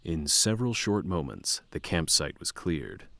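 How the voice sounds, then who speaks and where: A man's voice: a bass voice, big pitch swings, measured pace, creaky, bright, forceful tone, no echo, studio quality, full character voice. A man narrating a fantasy tale in a scripted storytelling style. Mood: measured.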